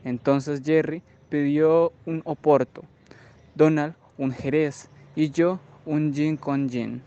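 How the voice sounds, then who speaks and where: Man acting a clear-voiced, aggrieved soldier. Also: a little high, mellow, lo-fi sound, lightly acted. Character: aggrieved American army sergeant recounting a bar visit. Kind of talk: radio drama narrative monologue.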